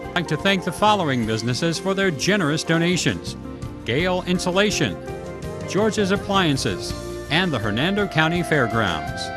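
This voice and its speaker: A man's voice: a little high, moderate pace. Guy reading local sponsor spots, bouncy voice, music bed under him. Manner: lively announcer delivery. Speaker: sponsor announcer. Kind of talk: read sponsor announcements.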